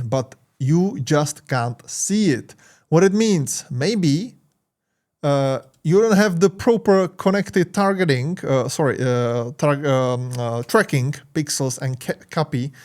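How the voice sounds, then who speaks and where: A tired man from the Czech Republic, speaking English with a Czech accent, hosts a marketing tutorial in halting speech full of fillers and restarts. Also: a little high, darkish tone. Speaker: marketing channel host. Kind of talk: screen-share tutorial talk.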